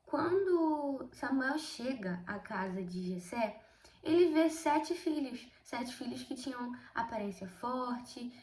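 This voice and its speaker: A sixteen-year-old girl with a Brazilian accent, slightly hoarse from a cold, chatting through a casual Bible study vlog and often addressing her viewers. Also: high-pitched, animated, slow pace, a little mellow, reverberant. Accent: Brazilian Portuguese. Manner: chatty, keeps addressing viewers, emphatic storytelling. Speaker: video host.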